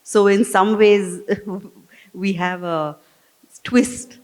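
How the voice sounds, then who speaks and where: A woman's voice: medium pitch, steady tone, extremely slow, a little mellow, smooth. A woman with an Indian accent moderating a stage talk in an informal, conversational and digressive way. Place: festival hall stage. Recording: echoey hall with long reverb.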